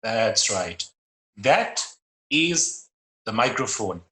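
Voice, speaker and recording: A man with an Indian English accent giving an online lecture as a musicologist, explaining in a measured way with frequent pauses. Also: lowish voice, big pitch swings, pushed and bright, echoey room. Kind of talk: illustrated slide lecture.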